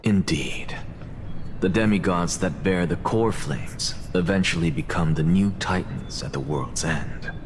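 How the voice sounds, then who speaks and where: An actor playing a proud, scheming professor who lectures in a calm, haughty way. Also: male voice, sits low, measured pace, slight background noise. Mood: reasoning. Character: proud, scheming male scholar professor, middle-aged. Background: game background music.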